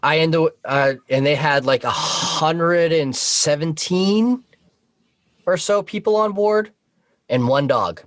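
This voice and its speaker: A man's voice: a little high, slow pace, dry recording. A man chatting informally as a podcast guest, telling work stories and swearing casually. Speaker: podcast guest, Coast Guard boat operator.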